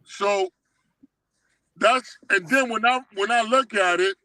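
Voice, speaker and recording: High-pitched, bright middle-aged man chatting. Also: slow, no room sound, poor audio. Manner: emphatic, repeatedly checking the listener understands. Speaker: guest telling life stories. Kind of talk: casual reminiscing chat with street slang.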